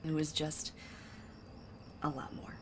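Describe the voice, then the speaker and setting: A woman's voice: low-pitched, little pitch movement, slow, slight background noise. An actress playing a lovelorn, sardonic woman diplomat, conversational and hesitant, in an audio drama. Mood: hurt, subdued. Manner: hesitant, conversational, self-correcting.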